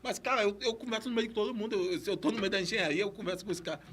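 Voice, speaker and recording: A man's voice: sits high, rapid-fire, fairly bright tone. A man with a Brazilian accent and a slight stammer, chatting casually and motivationally in a slangy, exhortative way on a podcast. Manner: slangy, exhortative, repeats himself. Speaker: podcast speaker on entrepreneurship.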